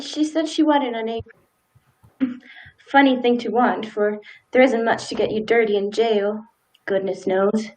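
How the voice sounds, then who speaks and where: A woman's voice: a little high, darkish tone, close to an everyday voice, rough recording. A woman in a radio play acting a timid, dutiful, law-abiding small-town wife with hesitant, folksy old-fashioned speech.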